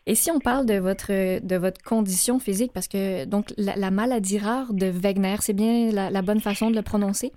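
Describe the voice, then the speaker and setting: A woman hosting a radio interview show, speaking gently with many hesitations. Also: medium pitch, fairly even intonation, moderate pace, few pauses, no room sound.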